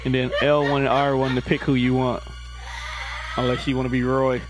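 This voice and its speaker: A man's voice: medium pitch, little pitch movement, a little fast. A man with a mid-range voice talks casually through a video game, giving laid-back, slangy instructions. Music plays behind him as he speaks. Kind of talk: casual chat while coaching a video game.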